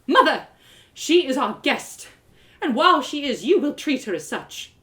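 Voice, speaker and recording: A woman voicing a proud young elven woman in a story, guarded and formal, speaking with measured resolve. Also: high-pitched, sing-song, pushed and bright, pitched above the performer's own voice, exaggerated voice. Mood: firm.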